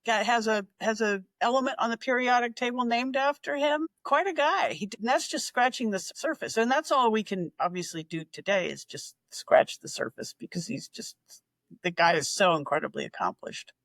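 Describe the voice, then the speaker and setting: A woman's voice: on the high side, moderate pace, a bit bright, no room sound. Enthusiastic woman in her sixties telling history. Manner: enthusiastic, chatty, with fillers and restarts. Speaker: regular history segment contributor. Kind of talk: casual storytelling.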